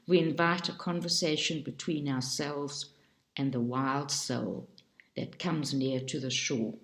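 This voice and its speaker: A woman reading a book aloud for a study group, slowly and carefully, stopping often to correct herself. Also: sits low, echoey room. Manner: slow, careful reading with frequent self-corrections.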